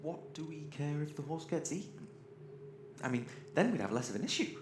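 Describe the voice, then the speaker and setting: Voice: medium pitch, sing-song, fairly quick, natural voice. A performer playing a fussy, sarcastic robot given to theatrical ranting in a science fiction audio drama. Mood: grumbling. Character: sardonic, ranting, greedy, fussy robot crew member. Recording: roomy echo, faint noise.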